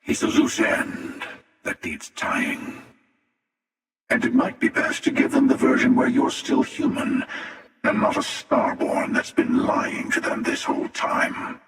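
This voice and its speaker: An ancient, menacing male starfaring hunter for a video game. His delivery is very slow and wry, with a heavy rasping creak that gives every taunt a theatrical edge. The voice echoes heavily, as if set back in a vast chamber. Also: medium pitch, wildly swooping pitch, distant mic, heavily acted. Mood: smug, wry. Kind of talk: scripted video game character dialogue. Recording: cavernous reverb, voice set back from mic.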